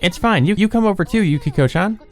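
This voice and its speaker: A man's voice: high voice, expressive, fast, faint music behind, voice pushed up. A man voicing an eager, friendly teenage schoolgirl while reading a visual novel, with an informal, inviting manner. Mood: cheerful, eager.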